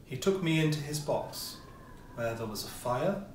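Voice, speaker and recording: Guy narrating an old ghost story, theatrical measured delivery, roomy echo, bit far from the mic. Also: a little high, lively intonation. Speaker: audiobook reader, amateur player. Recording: roomy echo, distant mic, faint noise.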